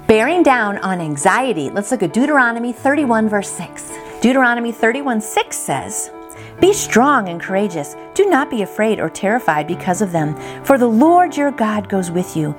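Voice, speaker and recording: Lady doing a devotional, encouraging and friendly, talks right to you, mid pitch, some music behind her. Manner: encouraging, friendly direct address to listeners. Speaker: devotional podcast host. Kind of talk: devotional reading with chatty personal commentary. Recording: voice over background music.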